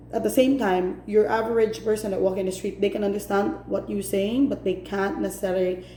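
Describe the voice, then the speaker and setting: A woman's voice: mid-range voice, reverberant, a little hiss or hum. A woman from Cebu City, venting straight to camera in a vlog, emphatic and fed up, hammering each point home. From Philippines, Cebu City. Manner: emphatic and exasperated, repeating points for stress. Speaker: vlogger. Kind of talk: direct-to-camera opinion rant.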